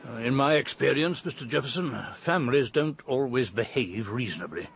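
Actor as gruff English police colonel, lively intonation. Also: male voice, medium pitch, brisk, slight background noise, natural voice. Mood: probing, sceptical. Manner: clipped, hesitant, methodical questioning. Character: gruff, formal police colonel leading a murder inquiry. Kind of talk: radio drama acting.

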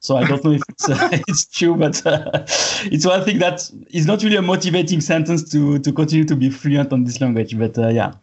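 A man whose first language is French, interviewing a guest in English in a friendly, casual way, with halting non-native phrasing. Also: a little high, darkish tone, slightly rough. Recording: dull lo-fi audio.

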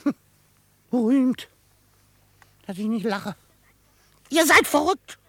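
A man playing a quirky old frontier trapper in a radio drama, excitable and grumbling, gruff but fond, with a crackly voice. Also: very high-pitched, slow pace, no room sound, exaggerated voice. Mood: grudging. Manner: excitable, grumbling, chuckling, theatrically indignant. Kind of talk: German radio drama acting.

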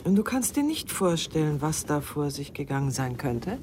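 An actress in a German radio drama playing a composed, probing middle-aged wife with a measured, pointed way of talking. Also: female voice, low-pitched, sing-song, noisy background.

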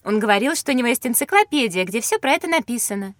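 An actress playing a polite, inquisitive school-age child, earnest and courteous, in an educational audio drama. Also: female voice, fairly high pitch, expressive, fast talker, no echo, clean studio sound, close to an everyday voice. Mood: eager, polite.

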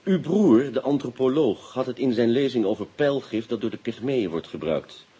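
An actor playing a shrewd police inspector in a radio play, asking clipped, politely insistent questions and muttering to himself. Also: male voice, mid-range voice, expressive, moderate pace, warm tone, slightly rough. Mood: probing, polite. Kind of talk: Dutch radio drama acting. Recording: dull, noisy old radio recording.

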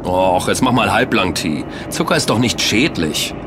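An actor playing a sceptical, excitable man who protests in colloquial, incredulous dialogue in an audio drama. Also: fairly low pitch, moderate pace, background noise. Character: sceptical, excitable male sugar lover named Kim.

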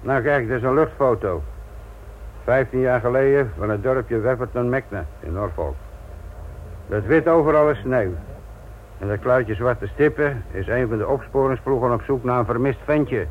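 Actor as a sardonic veteran police inspector, tinny recording. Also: male voice, mid-range voice, normal speed, lightly acted. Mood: wry. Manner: sardonic, blunt, condescending. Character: gruff, sardonic veteran police inspector lecturing young detective trainees. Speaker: lecturer. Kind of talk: Dutch radio play acting. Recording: tinny, noisy old radio play recording.

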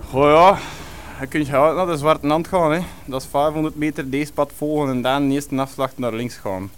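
A man's voice: a little high, steady tone, normal speed, clear, resonant tone, natural voice. A man doing a rambling drunk doom prophet. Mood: mumbling. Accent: Flemish. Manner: rambling, slurred, sing-song. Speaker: village drunk. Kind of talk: comic radio play.

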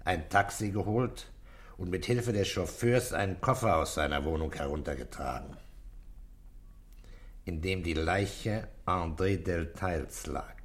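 A low male voice of an actor as a police commissioner in a radio crime drama. He is calm and authoritative, speaking in measured, terse phrases and giving orders without fuss. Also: lightly acted. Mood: grave, probing.

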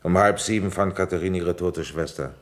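Casting: sober police inspector for radio crime drama, male actor, low voice, terse, probing, persistent. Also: moderate pace, lightly acted. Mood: probing, stern. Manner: terse, probing, insistent questioning. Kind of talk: German radio play acting.

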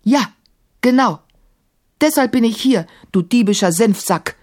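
A woman's voice: fairly high pitch, animated, measured pace, a little mellow, voice pushed up. A woman voicing an indignant young boy ruler in a storybook, speaking urgently and defiantly. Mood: defiant shouting.

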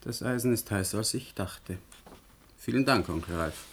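A low-pitched young male scientist, played by an actor, earnest and defiant, as if working in secret. The radio drama recording is older, with clear background noise. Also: normal speed, lightly acted. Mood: indignant. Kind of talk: German radio drama acting.

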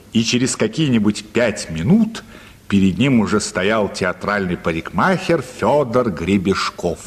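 A man with a husky voice narrating a radio play as an expressive, scripted storyteller. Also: on the low side. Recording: reverberant studio hall sound.

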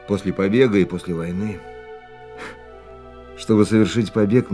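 A war-worn man of about forty in a radio play. His low voice moves in measured, brooding phrases with dramatic pauses, haunted and reflective, over a clear bed of music. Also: mellow. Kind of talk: radio drama acting, dialogue and monologue.

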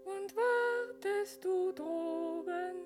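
A woman singing as a faithful, devoted young woman who waits, soft and tender, over orchestral music. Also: extremely high pitch, drawn-out words, exaggerated voice. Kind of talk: singing a lullaby-like song with orchestra.

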